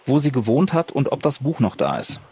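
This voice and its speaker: A man's voice: mid-range voice, huge pitch swings, brisk, warm tone, breathy edge. A man casually improvising as a player in a tabletop roleplaying game.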